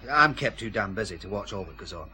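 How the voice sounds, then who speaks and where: An actor playing a gruff, grudging warehouse foreman with a husky voice, curt and guarded in an audio drama. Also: low voice, animated, fast talker, sharp tone, exaggerated voice. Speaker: actor in a radio detective drama.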